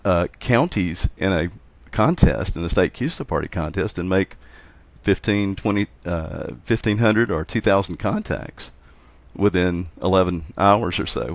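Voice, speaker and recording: A man giving a casual, halting explanation as an interview guest, repeating words for emphasis. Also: low voice, no room sound. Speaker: interview guest, amateur radio contest operator.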